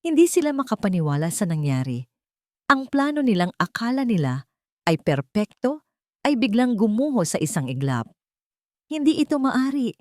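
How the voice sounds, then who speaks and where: A woman's voice: mid-range voice, very animated, normal speed, a little mellow, no echo, studio quality. A woman narrating a dramatic scripted love story as a storyteller.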